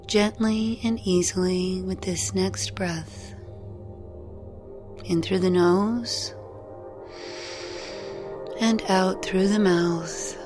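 A woman guiding a meditation in a gentle, soothing, unhurried way, leaving long pauses between phrases. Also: lowish voice, steady tone. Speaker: meditation guide. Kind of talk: guided meditation narration. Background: music.

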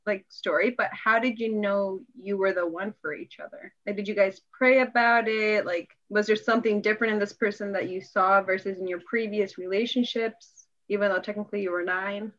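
A woman hosting a church podcast, bubbly and teasing, quick to laugh as she asks questions. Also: mid-range voice, steady tone, fairly bright tone, highs cut off. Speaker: podcast host.

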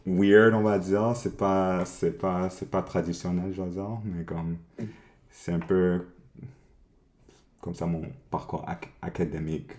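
A man from Montreal talking as a guest in a casual interview, halting often, restarting phrases and slipping into English words. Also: sits low, flat delivery, a little mellow. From Montreal, Canada.

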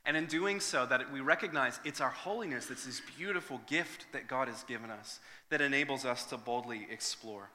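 A man's voice: medium pitch, a little fast, few pauses, pushed and bright, slight background noise. A man, preaching a sermon to his congregation, earnest and conversational, with frequent restarts. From Northern Ireland. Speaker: pastor. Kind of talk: sermon with prayer and personal story. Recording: long hall reverb.

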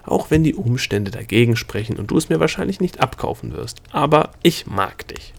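A wild little gnome with shifting scales, lecturing and cackling as he works himself into a rant, as a male narrator puts him on. His voice climbs high and loud when he gets excited. He is the guide of a storybook adventure. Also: normal speed, vocal fry. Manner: cackling, lecturing, worked up into a rage.

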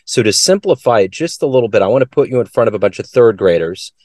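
A man's voice: mid-range voice, expressive, fast talker, a little mellow, no echo, studio quality. A man hosting an interview, asking curious, friendly questions and lifting his voice on each question. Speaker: interviewer and show host. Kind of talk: conversational interview.

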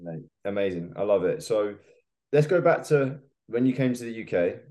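Low mellow-voiced male interviewer. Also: expressive, moderate pace. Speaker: podcast host.

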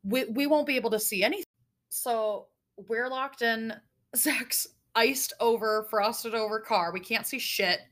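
Young woman, nasal, animated, profane, casual podcast storytelling. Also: fairly high pitch, measured pace. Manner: animated, swears often, tells stories breathlessly. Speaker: podcast co-host.

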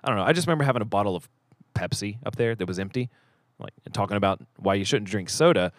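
A man's voice: on the low side, animated, fast, dry recording. A man hosting a podcast in casual banter, rambling and self-deprecating, sometimes mumbling as his sentences trail off. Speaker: podcast host.

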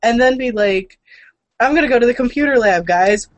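A woman's voice: on the high side, expressive, brisk, dry recording, poor audio. Irreverent woman hosting, close dry sound. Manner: irreverent, joking, sweary. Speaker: show host. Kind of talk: casual gaming and comics news chat.

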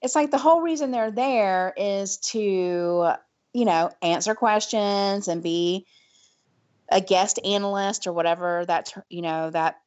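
A woman's voice: mid-range voice, measured pace, a little mellow, lo-fi sound. A woman with a mild Southern US accent co-hosts a casual podcast. She talks in a loose, chatty way with easy laughs and plenty of fillers. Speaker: podcast co-host. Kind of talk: casual chat.

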